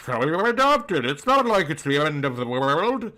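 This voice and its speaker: A man's voice: on the high side, big pitch swings, moderate pace, pushed and bright. A man voicing a syrupy, doting middle-aged rich wife in a soap-opera parody, cooing theatrically. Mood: soothing.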